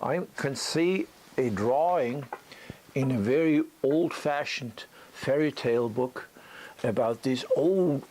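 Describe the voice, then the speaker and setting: A man who speaks as a gives an unscripted critique as a teacher, thinking aloud haltingly with a whimsical storytelling streak. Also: fairly high pitch, darkish tone. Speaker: bonsai teacher giving tree critiques. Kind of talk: unscripted spoken critique and demonstration. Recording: noisy ambient location recording.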